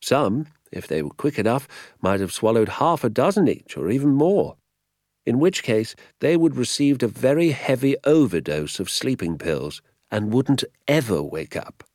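A man voicing a genial, quietly triumphant old village doctor with a British accent and a knowing manner. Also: mid-range voice, expressive, normal speed, no room sound, clean studio sound, voice pushed up, lightly acted. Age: older.

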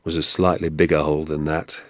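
A man's voice: a bass voice, level tone, a little fast, dry recording, studio quality. A man voicing a roguish country father in an audiobook, with earnest, storytelling emphasis. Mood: admiring, plain. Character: warm-hearted country father, a roguish poacher. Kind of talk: acted audiobook dialogue.

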